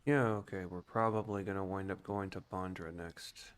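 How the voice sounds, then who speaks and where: A man with a fairly low voice talks through a video game as a streamer would. His delivery is casual and explanatory, as he thinks aloud in an informal way. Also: steady tone, moderate pace, clean tone. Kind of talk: casual gameplay commentary and explanation.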